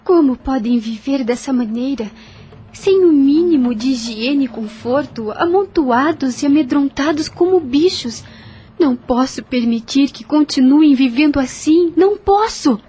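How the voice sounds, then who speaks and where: A woman's voice: high-pitched, expressive, measured pace, dark tone. A woman acting a young, compassionate and determined plantation mistress in an audio drama, earnest and commanding. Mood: indignant.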